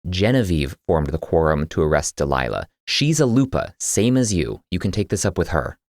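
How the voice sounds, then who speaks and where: A man's voice: deep voice, sing-song, moderate pace, no echo. A man voicing a guarded, duty-bound keeper of keys who reasons firmly but civilly in an audiobook. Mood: defensive. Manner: defensive, reasoning, restrained. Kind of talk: audiobook character dialogue.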